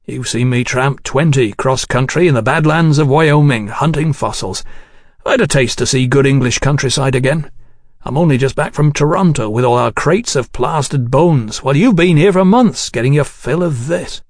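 A man playing a gruff, sceptical English field scientist in an audiobook, measured, wry and matter-of-fact. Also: mid-range voice, darkish tone, no room sound, clean studio sound, close to an everyday voice. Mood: plain, friendly.

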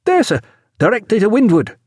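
Man playing an eager high-voiced young midshipman. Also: huge pitch swings, brisk, slightly rough, no echo, clean studio sound, heavily acted. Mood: youthful joy. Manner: bright, deferential, formal reporting. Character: eager, joyful young midshipman, a boy reporting dutifully.

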